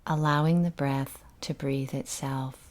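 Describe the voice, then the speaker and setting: Slow, low-voiced woman guiding meditation. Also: fairly even intonation. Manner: gentle, unhurried, soothing instruction with long pauses. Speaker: meditation guide. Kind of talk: guided meditation.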